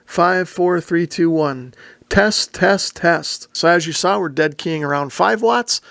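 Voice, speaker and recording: A man with a slightly high voice and a marked creak walks through a product demo in a casual, methodical way. He explains each step in order, recorded very dry with no room sound. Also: takes it slowly, fairly bright tone, dry recording. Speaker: host of a radio review and demo video. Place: indoor radio shack.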